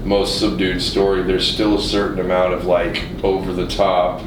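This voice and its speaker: A man's voice: completely flat delivery, moderate pace, recorded from a distance. A man chatting casually and emphatically about films, with plenty of swearing. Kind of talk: casual film chat. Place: indoor radio studio. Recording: roomy, noisy, off-mic studio recording with rumble.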